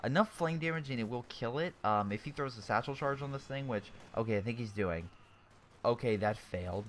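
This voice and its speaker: A man's voice: mid-range voice, a little fast, slight background noise. A young man with a sore throat giving casual, chatty commentary on a strategy game replay, often correcting himself mid-sentence and airing blunt opinions.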